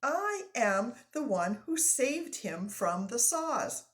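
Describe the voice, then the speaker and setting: A woman's voice: on the high side, big pitch swings, slow pace, a bit bright, voice pushed up, heavily acted. A woman voicing an exuberant French-Canadian lumberjack in a storybook, with a put-on French accent and emphatic broken English. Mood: excited, amazed.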